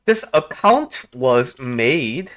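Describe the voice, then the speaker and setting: Man with lively, swooping intonation. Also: mid-range voice. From South Korea. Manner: halting, frequent pauses and rhetorical questions. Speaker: life coach and video host. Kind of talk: casual reflective commentary to viewers.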